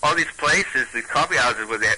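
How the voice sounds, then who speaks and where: A man with a Brooklyn accent, a storyteller and stand-up comic, chatting haltingly with many restarts and reading a comic tale aloud. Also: medium pitch, animated, pushed and bright, husky, poor audio.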